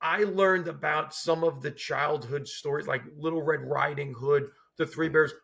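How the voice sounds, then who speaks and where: A man's voice: a little high, fairly even intonation, moderate pace, vocal fry, a bit bright. A man in his fifties from New Jersey, raised speaking Italian and Sicilian dialect first, telling stories as a comedian guest in casual chat with wry, punchy asides. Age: 50s. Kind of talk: casual conversational storytelling.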